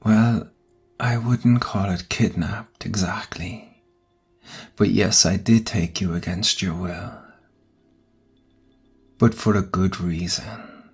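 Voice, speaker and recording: A man playing an ancient vampire captor in a whispered ASMR roleplay, soothing yet menacing, coaxing softly and deliberately. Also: low voice, fairly even intonation, heavily acted. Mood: soothing, ominous. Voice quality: breathy whisper. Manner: slow, hushed, deliberate, coaxing. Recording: close voice over background music. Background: music.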